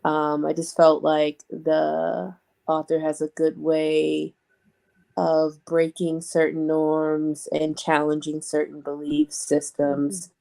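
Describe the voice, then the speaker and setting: A woman chatting casually as a panel guest, deliberate and wry in how she talks. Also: low-pitched, monotone, vocal fry, warm tone. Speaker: panel guest on a book live show. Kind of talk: casual chat.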